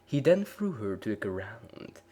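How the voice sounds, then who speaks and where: Low-voiced man reading a story. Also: very animated, measured pace, dark tone, husky. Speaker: story reader. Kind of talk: dramatic reading of narration.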